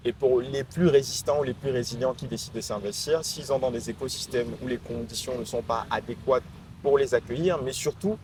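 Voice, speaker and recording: A man's voice: mid-range voice, a little fast. A man in his twenties with a Cameroonian accent, giving articulate, reflective answers as an interview guest. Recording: noisy urban ambience behind voice.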